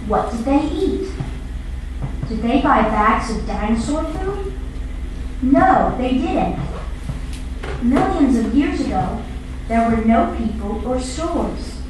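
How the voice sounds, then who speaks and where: A woman reading a textbook passage aloud in slow, carefully enunciated narration. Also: high voice, lively intonation, recorded from a distance, background noise. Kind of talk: scripted textbook audio narration. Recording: audio played in an echoey classroom, heard from afar.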